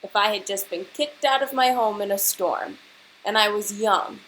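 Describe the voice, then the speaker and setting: A woman teacher reading a picture book aloud to children and pausing to prompt them with questions, with hiss on the recording. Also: mid-range voice, fairly bright tone, poor audio. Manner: instructional, pausing to prompt young listeners.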